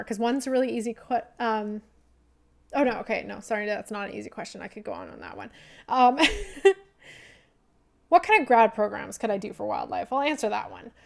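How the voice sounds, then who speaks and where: A young woman hosting a casual livestream Q&A as a wildlife biologist, rambling with lots of "like" and "um", her voice going hoarse. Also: fairly high pitch. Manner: rambling, heavy use of "like" and "um". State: losing her voice.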